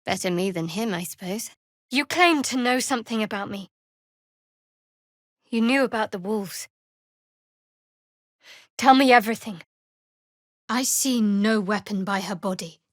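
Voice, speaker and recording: An actress voicing a guarded, sardonic young woman cleric in a fantasy video game, with dry, measured delivery. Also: mid-range voice, no echo, natural voice. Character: guarded, sardonic young female cleric adventurer of dark goddess.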